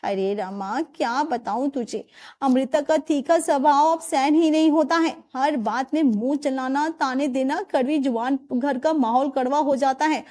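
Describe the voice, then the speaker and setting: A weary older mother-in-law, put on by a woman narrator, her voice pitched low and sighing. She sounds burdened and troubled, talking slowly through her household worries. A storybook figure with a high, light base voice underneath. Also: mellow.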